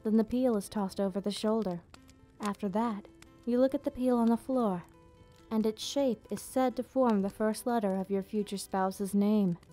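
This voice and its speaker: A woman playing a gentle, nostalgic vampire hostess in an ASMR roleplay, speaking softly in slightly formal, unhurried explanations. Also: mid-range voice, lightly acted. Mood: gentle, explaining. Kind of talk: ASMR roleplay, soft storytelling. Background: background music.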